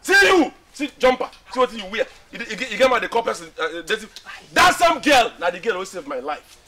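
A man's voice: high voice, big pitch swings, fast, raised voice, crowd noise. A man with a Nigerian accent playing a defensive, scolding young man in a film, speaking emphatically and repeating himself. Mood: angry, scolding. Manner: repetitive, emphatic, argumentative. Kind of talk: Nigerian film acting in Pidgin dialogue.

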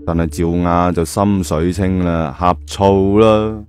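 Low-pitched male storyteller. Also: steady tone, slow, a little mellow. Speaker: narrator. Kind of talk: lively colloquial audio-drama storytelling.

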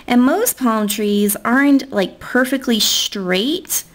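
A woman's voice: a little high, lively intonation, takes it slowly. A young American woman giving a casual, chatty step-by-step tutorial in an informal way. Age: young adult. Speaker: nail art tutorial host.

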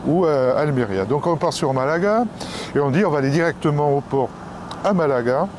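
A man's voice: on the high side, lively intonation, a little fast. A man telling a travel story in a casual vlog, rambling with fillers and restarts. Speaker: travel vlogger. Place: outdoors and aboard a ferry. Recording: noisy outdoor recording.

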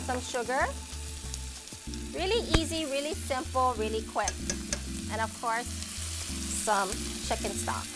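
Upbeat woman hosting a cooking show. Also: a little high. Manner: upbeat, chatty, encouraging. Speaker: cooking show host and restaurant owner. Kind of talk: cooking demonstration. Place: home kitchen, indoors. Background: background music and sizzling pan.

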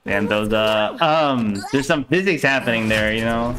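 A young man giving casual, halting reaction commentary as a streamer at his desk. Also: mid-range voice, noisy background. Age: young adult. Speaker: streamer reacting to a game trailer. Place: small room indoors at a desk. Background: faint music.

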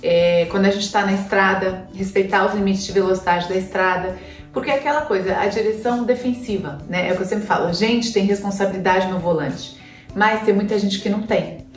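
A woman's voice: mid-range voice, fairly quick, distant mic. Chatty woman vlogging from a sofa. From Brazil. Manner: chatty, explaining with many fillers. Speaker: pet vlogger. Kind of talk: casual advice to camera. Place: living room, on a sofa with dogs. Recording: roomy, from off-mic, soft music.

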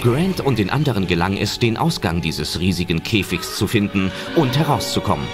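A man's voice: lowish voice, sing-song, moderate pace. A man reading scripted audio drama narration as a storyteller. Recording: narration over loud film music.

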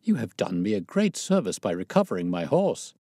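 A man voicing an irascible, blustering older gentleman colonel in an audiobook, indignant and emphatic. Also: fairly low pitch, big pitch swings, a little fast, dry recording, clean studio sound. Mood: effusive. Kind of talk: audiobook character dialogue.

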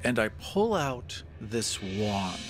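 A man taking part in a tabletop roleplaying game, describing the action as a deliberate, detailed story. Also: lowish voice, very animated. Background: music.

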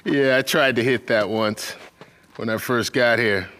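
A man's voice: mid-range voice, measured pace, bright, forceful tone. An American man playing a cocky, crude, chummy army soldier in a video game, with slangy, breezy talk. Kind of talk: video game cutscene acting.

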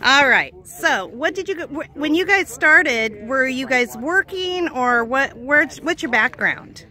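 A woman interviews in a relaxed, chatty style from behind the camera outdoors. Her voice is somewhat high and strikingly bright. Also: a little slow. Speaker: host, interviewer. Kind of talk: casual interview chat.

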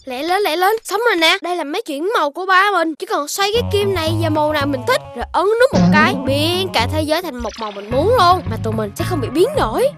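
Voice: very high voice, expressive, fast talker. A performer voicing a curious, practical young cartoon child, perky and inquisitive, with a southern Vietnamese accent. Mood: upbeat. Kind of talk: animated children's cartoon dubbing. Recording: loud music behind the voice.

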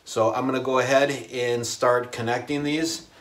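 A man in his forties giving a casual how-to explanation to camera in a plain, unhurried, halting way. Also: medium pitch, little pitch movement. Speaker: DIY video host. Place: inside a small unfinished wooden cabin with a steel ceiling.

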